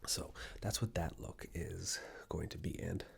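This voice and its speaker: A man doing soft-spoken ASMR, talking casually to the viewer in a hushed, breathy voice that often drops into a whisper. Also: low voice, steady tone, a little slow. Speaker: ASMR video creator and host. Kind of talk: ASMR, soft-spoken personal ramble to camera.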